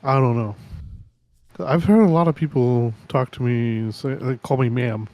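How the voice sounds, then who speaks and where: Soft-spoken young man, dry close sound. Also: medium pitch, measured pace, no room sound. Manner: soft-spoken, casual, swears casually. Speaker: podcast co-host. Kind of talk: casual chat.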